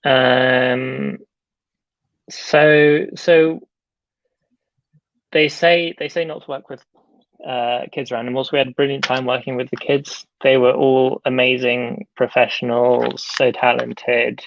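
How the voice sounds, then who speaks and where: A young man answers interview questions over a video call, halting and full of fillers and restarts. His voice has a gravelly edge. The sound is dry and a little dull. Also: medium pitch, little pitch movement, no room sound. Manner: hesitant, many fillers and restarts. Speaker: film director.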